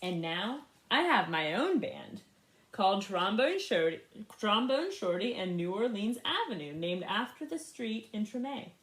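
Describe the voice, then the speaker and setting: A woman reading a picture book aloud in an expressive, animated way for young listeners. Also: mid-range voice, roomy sound. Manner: animated, pauses to ask young listeners questions. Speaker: storybook reader. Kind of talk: expressive children's read-aloud.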